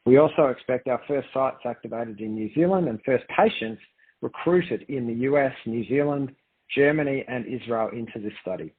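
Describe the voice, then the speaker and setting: Husky-voiced male executive on a dull conference line. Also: mid-range voice, normal speed. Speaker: company executive presenting on an earnings call. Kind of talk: formal corporate presentation and answers.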